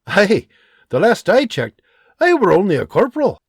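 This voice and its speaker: A man voicing an eager young colonial scout who talks in an excited, rustic way in an audiobook. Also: high voice, moderate pace, slightly rough, dry recording, clean studio sound, pitched above the performer's own voice, heavily acted. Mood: spirited. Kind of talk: audiobook character voice.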